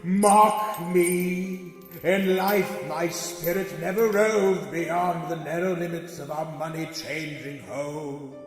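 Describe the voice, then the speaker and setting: An actor playing the chained ghost of an old man, tormented and doomed, speaking in a slow, wailing, solemn way. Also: on the high side, steady tone. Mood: weary. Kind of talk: radio play acting. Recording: echoey hall reverb with faint music.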